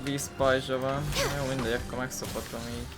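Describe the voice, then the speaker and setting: A man's voice: mid-range voice, level tone, fast. A man from Hungary giving casual, coarse gameplay commentary and swearing freely as he plays. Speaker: gaming video host. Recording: game music behind the voice. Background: video game music.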